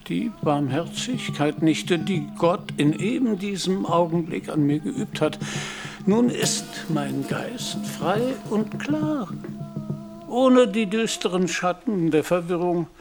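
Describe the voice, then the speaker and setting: Frail old knight on his deathbed, gravelly, measured, solemn, lucid and gentle, radio drama. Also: a little high. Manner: solemn, measured, formal delivery. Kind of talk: literary radio play acting.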